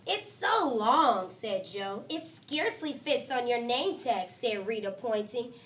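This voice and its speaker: A woman teacher reading a picture book aloud to her class, with lively, expressive storytelling. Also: slow pace, far from the mic. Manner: expressive storytelling, voices quotes higher and louder.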